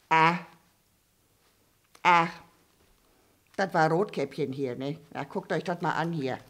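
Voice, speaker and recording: A woman voicing a gossipy old female puppet who rambles, stammers and restarts her sentences. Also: on the low side. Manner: stammering, full of fillers and restarts. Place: dark theatre stage.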